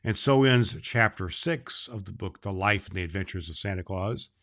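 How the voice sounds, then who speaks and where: A man playing Santa Claus, reading a children's book aloud and talking to kids in a slow, gentle storytelling manner. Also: low voice.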